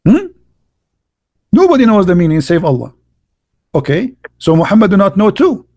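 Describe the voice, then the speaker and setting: A man's voice: sits high, wildly swooping pitch, normal speed, a little mellow. A man with an Arabic accent, a native Arabic speaker, hosting a live stream and arguing heatedly in mocking, emphatic English with non-native grammar. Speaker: live-stream host debating callers. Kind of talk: heated religious debate talk.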